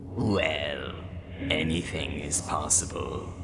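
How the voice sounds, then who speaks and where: A man playing a sinister, manipulative villain in an audio drama, gloating in measured, portentous phrases. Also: extremely low pitch, vocal fry, over loud music, full character voice. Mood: sinister satisfaction. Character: scheming sinister villain, manipulative servant of a dark lord. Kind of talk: theatrical audio drama acting.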